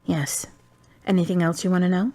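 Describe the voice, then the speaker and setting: A woman's voice: fairly low pitch, flat delivery, a little fast, dark tone. A woman playing a frank, wise young woman. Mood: somber. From United States. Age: 20s. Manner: blunt and dryly teasing. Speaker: friend and love interest. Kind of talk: amateur web drama acting.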